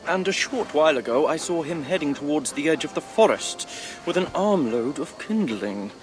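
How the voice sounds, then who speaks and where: An actor playing a polite, gently gossiping medieval townsman in a video game, hesitant and insinuating. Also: on the high side, lively intonation, normal speed, over music, close to an everyday voice. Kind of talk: dramatic video game acting.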